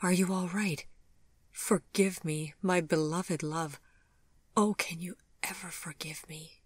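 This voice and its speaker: A woman's voice: lowish voice, fairly even intonation, slow pace, a little mellow, dry recording, voice pushed up. A woman voicing a remorseful, tormented male monster lover in an audiobook, pleading and intense.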